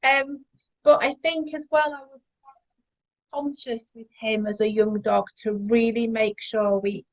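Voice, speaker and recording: A soft-spoken woman chatting about training her dogs, self-deprecating with light laughs. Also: on the high side, steady tone, a little slow. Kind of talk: casual chat about dog training. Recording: dull lo-fi audio.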